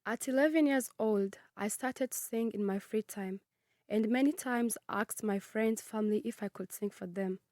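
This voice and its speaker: A nineteen-year-old woman from Congo who grew up in Kenya, reading her personal narrative in a measured, earnest way. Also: mid-range voice, a little mellow, dry recording, clean studio sound. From Congo, raised in Kenya. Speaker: student narrator.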